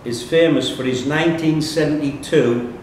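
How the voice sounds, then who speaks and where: A man's voice: steady tone, normal speed, a little mellow. Male quiz question reader, reading aloud live; very reverberant pub room, faint noise. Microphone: distant room microphone. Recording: echoey room, distant mic, faint rumbling noise.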